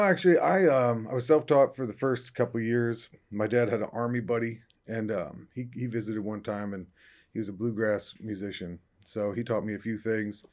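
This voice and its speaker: A young man from upstate New York, a singer-songwriter being interviewed, talking casually in a raspy baritone with easygoing fillers. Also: a little mellow. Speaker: country singer-songwriter guest.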